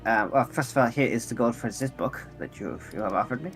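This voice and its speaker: A man voicing a polite, earnest robot adventurer with lost memory in a tabletop game, speaking formally and haltingly. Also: mid-range voice, expressive, a bit bright, faint music behind. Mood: polite, hesitant.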